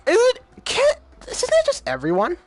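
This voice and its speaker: A man's voice: extremely high pitch, huge pitch swings, moderate pace, no room sound, faint music behind. Man reading game lines, rambling, dry sound. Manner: reads game dialogue in his own voice, rambling asides; casual slang, many fillers. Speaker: gamer reading a visual novel aloud. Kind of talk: let's play reading and commentary.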